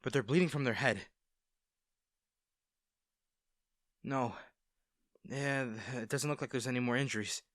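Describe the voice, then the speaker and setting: A man playing a kind, worried young male rescuer in a roleplay audio drama, speaking in a gentle, hesitant, reassuring manner. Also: medium pitch, fairly quick, dry recording, studio quality, close to an everyday voice. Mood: alarmed, urgent. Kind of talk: acted first-person roleplay audio drama.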